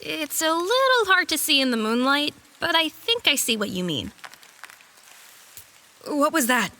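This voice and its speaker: Woman doing a sarcastic young fantasy fighter, feisty, bright high voice that jumps all over. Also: sing-song, measured pace, slight background noise, full character voice. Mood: wry, curious. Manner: wry, feisty. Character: sarcastic, plucky young fighter travelling with a lord. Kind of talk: fantasy audio drama acting.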